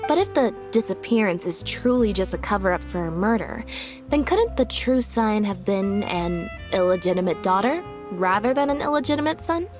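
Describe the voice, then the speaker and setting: A woman playing a composed, sharply logical detective in a video game, explaining in a measured way. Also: mid-range voice, animated, close to an everyday voice. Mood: pointed. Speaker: detective character. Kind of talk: video game story voice acting. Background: faint music.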